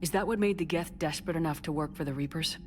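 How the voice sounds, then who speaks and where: A woman playing a low-voiced, blunt commander. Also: fast talker, a little mellow, a little hiss or hum, close to an everyday voice. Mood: serious. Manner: blunt, probing, no-nonsense. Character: tough, direct female military commander. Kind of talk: video game voice acting.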